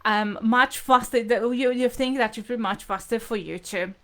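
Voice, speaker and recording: A woman's voice: a little high, moderate pace, a bit bright. A woman hosting an informal live lesson, explaining things to viewers in a hesitant, repetitive way. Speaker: language teacher hosting livestream lesson.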